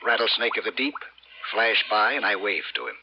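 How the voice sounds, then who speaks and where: A man's voice: lowish voice, moderate pace, muffled recording, a little hiss or hum, lightly acted. An actor playing a brooding, dreamy, obsessed skin diver who narrates his own story in an old radio suspense style, musing and dramatic, repeating phrases. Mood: dreamy, euphoric. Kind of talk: 1950s radio suspense acting, first-person narration. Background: dramatic music.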